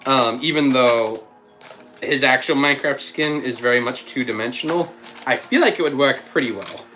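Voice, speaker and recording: A man's voice: medium pitch, moderate pace, bright, forceful tone, faint music behind. A man hosting a casual livestream, thinking aloud as he builds and answering chat, still with a slight cough and a frog in his throat. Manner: thinks aloud, chatty, reads out chat messages. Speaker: livestream host.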